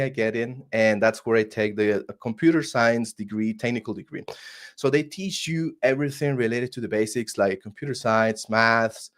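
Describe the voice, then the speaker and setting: Costa rican guy, spanish accent, earnest, keeps restarting his words, video call. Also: mid-range voice. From Costa Rica. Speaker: guest. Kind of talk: casual interview storytelling.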